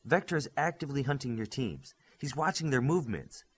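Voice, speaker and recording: A man's voice: medium pitch, expressive, a little fast, slightly rough. A man voicing a defiant, determined young superhero team leader in an audiobook, speaking firmly and without flinching. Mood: urgent advice. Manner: firm, unflinching.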